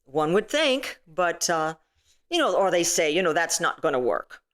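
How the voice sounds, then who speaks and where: A middle-aged woman with a booming, stage-trained voice, chatting casually as an interview guest with lots of "you know"s and restarts. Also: very animated, moderate pace. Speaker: filmmaker and actress guest.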